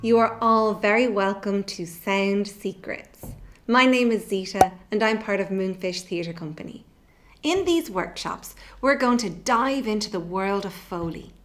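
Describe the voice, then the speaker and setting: A woman presents a scripted lesson for children. She speaks slowly and deliberately, at an average pitch, with an expressive teacher's delivery. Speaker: workshop presenter. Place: small indoor room. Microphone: microphone on the desk close by.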